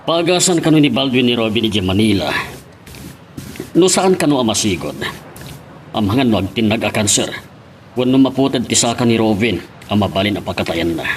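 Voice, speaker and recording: A man's voice: lowish voice, lively intonation, brisk, long pauses, slight background noise, lightly acted. An actor playing a solemn, concerned father in an Ilocano radio drama. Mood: grave, concerned.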